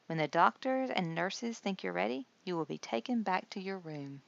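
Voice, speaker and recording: A woman's voice: fairly low pitch, animated, moderate pace, vocal fry, muffled recording. A woman voicing a kindly nurse in a children's storybook, patiently explaining things and reassuring young listeners.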